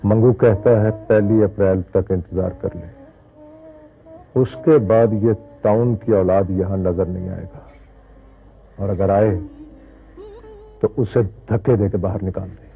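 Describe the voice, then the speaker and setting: A man's voice: deep voice, steady tone, unhurried, gravelly, pauses often, husky, soft background music, heavily acted. A man playing a defiant, commanding, street-wise cart driver in an Urdu television film, speaking with deliberation. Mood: defiant resolve. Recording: muffled, phone-like film sound.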